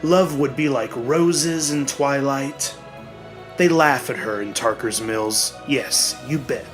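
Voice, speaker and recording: A man delivers scripted horror narration at a slow pace, letting pauses hang for suspense. His reading is dramatic and deliberate, in a medium-pitched voice. Music plays clearly behind him. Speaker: narrator of a horror audio story. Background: clearly audible music.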